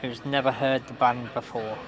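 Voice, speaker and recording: A man's voice: mid-range voice, steady tone, moderate pace. A man conducting a casual music interview, asking short questions as the interviewer.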